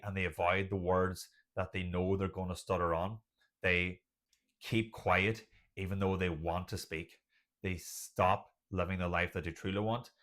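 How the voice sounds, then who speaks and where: A man's voice: deep voice, fairly bright tone. An Irish man speaking as a coach, giving motivational advice in an earnest, emphatic way with listed, repeated phrases. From Ireland. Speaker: doctor and stutter coach.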